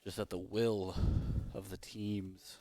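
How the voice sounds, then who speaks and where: Young male esports caster, low hoarse voice, loose rambling play-by-play. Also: little pitch movement, slow pace, warm tone, soft background music. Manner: rambling, self-correcting, chatty. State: losing his voice. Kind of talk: solo play-by-play commentary.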